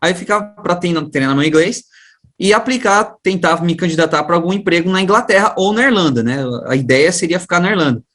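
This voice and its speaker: Higher-voiced Brazilian man chatting informally. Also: lively intonation, fast talker, a bit bright. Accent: Brazilian, São Paulo region. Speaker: guest, science teacher and education researcher. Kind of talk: casual conversational storytelling.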